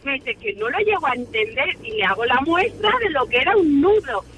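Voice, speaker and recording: A woman's voice: high-pitched, expressive, brisk, fairly bright tone. A woman from Valencia with a Valencian accent, a native Valencian speaker, chatting as a caller to a radio show. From Valencia, Spain. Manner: chatty, storytelling. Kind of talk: casual phone-in chat.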